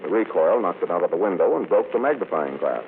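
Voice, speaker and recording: 1940s radio mystery, self-assured American gentleman detective, deep low voice, suave, measured, thin phone-like sound. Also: lively intonation. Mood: assured, explanatory. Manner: measured, authoritative, explanatory. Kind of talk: 1940s radio drama acting. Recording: tinny, noisy 1940s radio recording.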